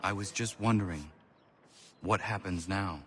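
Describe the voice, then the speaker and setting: An actor playing an earnest, principled young warrior in a video game, with a husky voice and a grave, measured delivery. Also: male voice, sits low, fairly bright tone. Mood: doubtful. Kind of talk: dramatic game dialogue.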